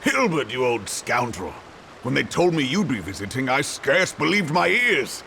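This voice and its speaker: An actor playing a gruff older male ex-gladiator turned politician in a game cutscene, hearty and bluff in manner. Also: medium pitch, big pitch swings, moderate pace, sharp tone, slight background noise, full character voice, poor audio. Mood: jovial. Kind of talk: video game cutscene voice acting.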